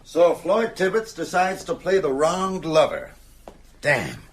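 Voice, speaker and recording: An actor playing a troubled, thoughtful man in a radio drama, speaking deliberately. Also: fairly high pitch, huge pitch swings, slightly rough, heavily acted. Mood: exasperated. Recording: faint background noise.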